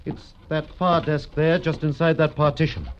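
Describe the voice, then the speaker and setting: An actor playing an earnest, concerned client in a 1940s radio drama, speaking precisely and insistently. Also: male voice, fairly high pitch, steady tone, normal speed, a little hiss or hum, natural voice. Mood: urgent. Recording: muffled vintage radio recording.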